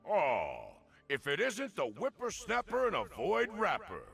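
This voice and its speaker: A male actor playing a cocky, wisecracking old veteran warrior in a fantasy video game, gravelly and wry as he taunts. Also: sits high, wildly swooping pitch, a little slow, a bit bright, full character voice. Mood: taunting, playful. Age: older. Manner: wry, taunting, pun-loving delivery. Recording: music behind the voice.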